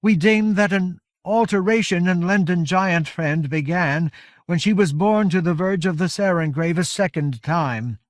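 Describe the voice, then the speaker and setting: Man voicing a high, exhausted giant warrior woman. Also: unhurried, no room sound, studio quality. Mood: grave. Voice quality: strained with exhaustion. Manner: formal, archaic, grave oratory. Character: Rime Coldspray, weary giant woman warrior leader, solemn. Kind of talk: audiobook character voice.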